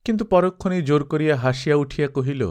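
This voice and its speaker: A man's voice: on the high side, very fast, a little mellow, smooth. A man reading scripted literary narration as an audiobook storyteller.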